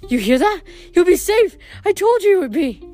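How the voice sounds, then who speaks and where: A woman's voice: extremely high pitch, very animated, normal speed, warm tone, soft background music, pitched above the performer's own voice. A woman voicing a frail, addled old mother in a storybook, exclamatory and wandering, with dreamy, doting sweetness. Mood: fond, insistent.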